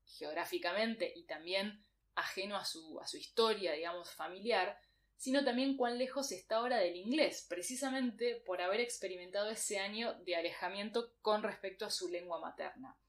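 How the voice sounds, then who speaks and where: Woman, podcast host, calm and explanatory, clean voice. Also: medium pitch. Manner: measured, explanatory, reads quotations aloud. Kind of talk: reflective literary commentary.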